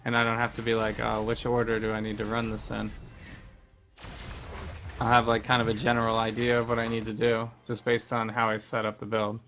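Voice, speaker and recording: A man talks through a game for his stream in a casual, explanatory way. His voice has a bright, crisp edge. The intonation stays fairly level as he chats. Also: fairly low pitch, completely flat delivery, brisk, slight background noise. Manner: explanatory, conversational. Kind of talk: casual gameplay commentary.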